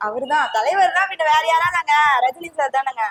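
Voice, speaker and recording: A woman's voice: high-pitched, expressive, moderate pace, a bit bright. A woman hosting a challenge show in casual, playful chat with a teasing, informal manner.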